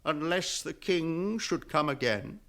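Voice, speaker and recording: An actor playing a stern, grieving old lord steward in British fantasy radio drama, speaking formally and imperiously with archaic phrasing. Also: male voice, on the high side, lively intonation, takes it slowly, fairly bright tone, exaggerated voice. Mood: proud, defiant.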